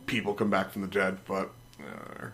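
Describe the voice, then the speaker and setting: A man co-hosting a casual gaming podcast, sniffly from a cold, excitable and swearing freely. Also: low voice, moderate pace, gravelly. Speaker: podcast co-host.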